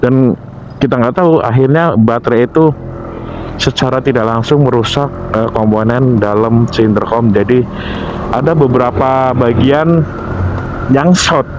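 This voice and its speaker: An Indonesian man with a mid-pitched voice talks through gear tips in an informal vlog style. He is instructive and repetitive, pausing to find words. The audio is dull and lo-fi, with faint vehicle noise behind him. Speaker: motovlogger giving gear care tips. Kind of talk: informal explanatory vlog talk.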